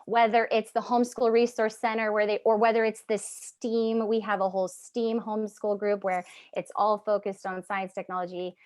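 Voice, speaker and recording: A woman guest on a podcast, talking enthusiastically in quick bursts about education. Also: mid-range voice, little pitch movement, a little mellow, dry recording, studio quality. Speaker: podcast guest, homeschooling advocate. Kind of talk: casual chat.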